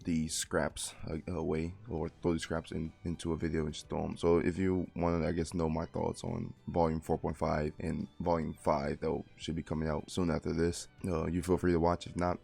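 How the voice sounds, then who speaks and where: A man who just woke up, his voice deeper and gravellier than usual, casually reviewing a light novel in a rambling, informal way. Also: completely flat delivery, normal speed, few pauses, dark tone, soft background music. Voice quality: gravelly morning voice. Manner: rambling, self-correcting, informal. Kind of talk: casual spoken book review.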